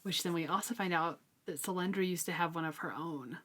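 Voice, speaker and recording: A woman talking through a book with her co-host in a loose, chatty way, laughing often. Her voice sits low, with a slight roughness from a cold she is still getting over. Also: a little fast, sharp tone. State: recovering from a cold. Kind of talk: casual book discussion.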